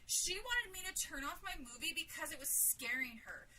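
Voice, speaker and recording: A woman voicing an excitable, boastful little boy in a story, with a childlike manner. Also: very high voice, normal speed, distant mic, a little hiss or hum, voice pushed up, full character voice. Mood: excited. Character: excitable little boy who loves zombie movies.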